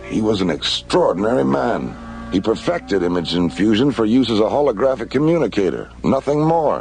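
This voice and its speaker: An actor playing a calm, authoritative space-agency commissioner in a radio drama. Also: male voice, mid-range voice.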